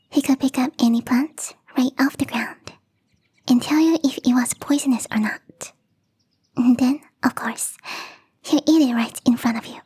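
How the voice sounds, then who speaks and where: A woman voicing a gentle young catgirl gardener in an intimate ASMR roleplay, speaking in a soft, breathy, whispery voice straight to the listener. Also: sits high, exaggerated voice. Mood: fond, reminiscing. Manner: gently teasing, confiding, addressing the listener directly.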